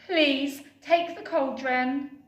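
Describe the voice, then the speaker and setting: A woman voicing an excitable little girl witch who cries out in a high, squealing voice. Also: drawn-out words, dull audio, heavily acted. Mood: eager. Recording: roomy, distant mic.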